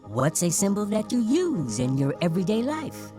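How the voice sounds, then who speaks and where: A woman's voice: medium pitch, sing-song, moderate pace, music in the background, natural voice. A woman voicing a swan who hosts a sociology lesson video, lecturing in a singsong way. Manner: singsong, lecturing, wildly swooping. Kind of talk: instructional video narration.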